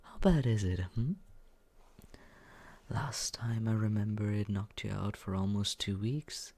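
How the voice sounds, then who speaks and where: A performer voicing a smug, mocking young male roommate in an ASMR roleplay, with a breathy, husky voice that often slips into a whisper and a condescending, taunting drawl. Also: mid-range voice, steady tone, measured pace, mellow. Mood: smug teasing. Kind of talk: ASMR roleplay, slow teasing monologue.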